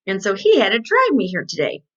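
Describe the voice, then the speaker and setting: A woman's voice: mid-range voice, huge pitch swings, fairly quick, a bit bright, highs cut off. A woman voicing a chatty, indignant kindergarten girl from a storybook, with emphatic childish phrasing.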